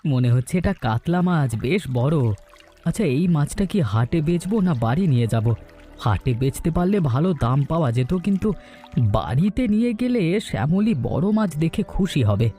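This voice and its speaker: A man's voice: a little high, sing-song, brisk, dark tone, soft background music. A man playing a simple, good-natured village fisherman in a cartoon folktale, chatty and musing. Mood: pleased, musing.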